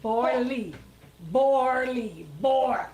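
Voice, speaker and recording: A woman's voice: high-pitched, extremely slow, a crowd in the background, low-quality recording. A woman with a Bahamian accent, bantering casually as a radio co-host. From Grand Bahama, Bahamas.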